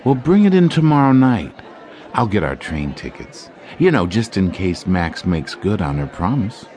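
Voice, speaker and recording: An actor playing a scheming male jazz clarinetist in an audio drama, smooth-talking and sly. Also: low voice, sing-song, moderate pace, darkish tone. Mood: sweet-talking. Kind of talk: radio drama acting.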